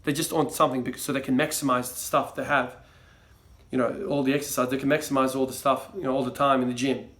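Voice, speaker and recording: A man's voice: mid-range voice, flat delivery, fast, a bit bright. A young man from Croatia, grown up in Australia, talking casually to camera in a rambling way, full of fillers and restarts. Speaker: fitness vlogger.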